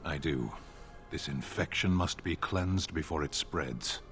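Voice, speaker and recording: A man's voice: a bass voice, fairly bright tone, faint music behind. An actor playing a stern, grim male warrior in a video game, speaking curtly and severely. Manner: slow, curt, severe. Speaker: game character.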